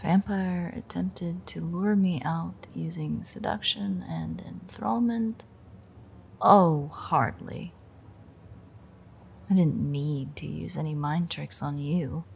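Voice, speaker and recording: A woman playing a smug, predatory female vampire who teases and menaces her captive in an intimate, unhurried audio roleplay monologue. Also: lowish voice, steady tone, gravelly, a little hiss or hum. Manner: teasing, sardonic, unhurried, menacing.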